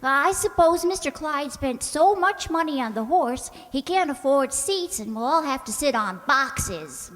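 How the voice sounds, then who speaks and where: A woman plays a ditzy young working girl in a radio sitcom, very high-voiced and swooping widely in pitch. She is earnest, guileless and sure of herself, and she takes her time. The sound rings with long hall reverb. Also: expressive, unhurried, hardly any pauses, a bit bright. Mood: earnest, naively confident. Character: scatterbrained, naive, cheerfully confident young working woman.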